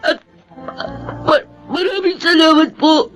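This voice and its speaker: Radio drama schoolboy: Filipino, impulsive yet remorseful, very high youthful pitch, halting and emotional. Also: fairly even intonation, fairly bright tone, soft background music, exaggerated voice. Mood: tearful, remorseful. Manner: emotional, stammering when confronted. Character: troubled adopted schoolboy, impulsive but remorseful.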